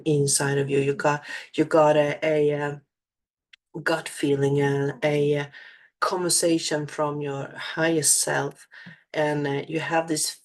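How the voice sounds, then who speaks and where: A low-pitched woman with a Swedish accent talks slowly in a reflective solo monologue. English is her second language, and she pauses, repeats and searches for the right words as she goes. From Sweden. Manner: searching for words, many restarts and fillers. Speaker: spiritual channeler.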